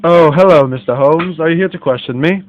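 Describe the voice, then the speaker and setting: A man's voice: a little high, brisk, muffled recording, slight background noise, natural voice. A man playing a courteous, wealthy businessman hosting a party in a radio mystery. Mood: shocked, subdued. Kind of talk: radio mystery play acting.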